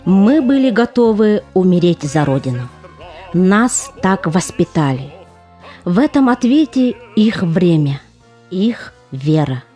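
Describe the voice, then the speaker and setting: A woman narrator reading scripted theatrical narration in a solemn, measured way. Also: fairly low pitch, very animated, mellow. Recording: music under the voice.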